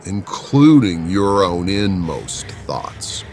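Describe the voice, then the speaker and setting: Man voicing a slow, deep, menacing medieval cardinal. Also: very animated, a little mellow, a little hiss or hum, full character voice. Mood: cold menace. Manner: slow, commanding, pompous. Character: menacing, all-seeing medieval cardinal with godlike arrogance. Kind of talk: dramatic audiobook character acting.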